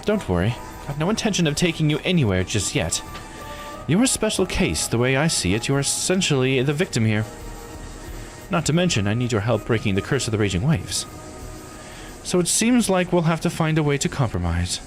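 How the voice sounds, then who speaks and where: A man voicing a composed, blunt law officer in a visual novel, explaining things in a measured, formal way. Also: medium pitch, sing-song, faint music behind, close to an everyday voice.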